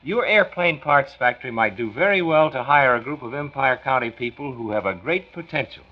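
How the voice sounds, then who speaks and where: A man's voice: mid-range voice, lively intonation, a little fast, close to an everyday voice. An actor playing an earnest, kindly male county health doctor who explains and persuades in a 1940s radio drama. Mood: persuasive. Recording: muffled old 1940s radio recording.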